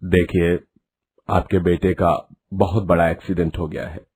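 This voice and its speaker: A man voicing a grave, middle-aged male doctor in an animated story, speaking slowly and solemnly. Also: sits low, husky, pitched below the performer's own voice.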